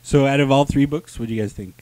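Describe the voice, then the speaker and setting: A man's voice: mid-range voice, fast talker, a little mellow, poor audio. A man hosting a casual comic review chat with friends, talking in slangy, profane banter and slipping into mock voices. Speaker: host of a comic book review show.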